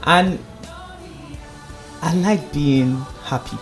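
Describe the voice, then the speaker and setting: A man, a singing contest finalist, telling stories in a playful, animated way on the radio, laughing often. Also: on the high side, extremely slow, music in the background. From Nigeria. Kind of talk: casual radio interview.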